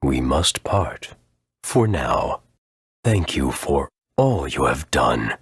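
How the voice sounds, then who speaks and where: A man playing an aged, solemn creature elder in a video game, speaking slowly and formally with a husky rumble. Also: very animated, no room sound, exaggerated voice. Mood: solemn, grateful. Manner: slow, grave, formal.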